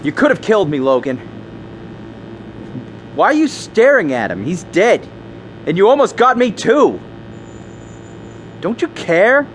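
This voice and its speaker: A cocky, excitable future lawman in a science fiction radio play, teasing and lecherous. His voice runs high and swoops around a lot, with faint ambient noise behind it. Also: measured pace, long pauses, rough recording. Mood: indignant. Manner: brash, teasing, animated. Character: brash, lecherous, excitable male futuristic police agent.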